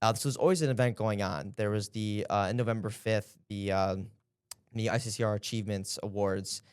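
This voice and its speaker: Young male guest, low smooth voice, earnest and halting, dry close mic. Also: fairly even intonation, no echo. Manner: earnest, hesitant, many fillers. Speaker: interview guest, former intern. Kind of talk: casual interview answers.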